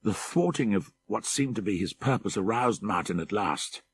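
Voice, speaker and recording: A man reading a horror story as an audiobook narrator, measured and deliberate, with dramatic emphasis. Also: on the low side, lively intonation, slightly rough.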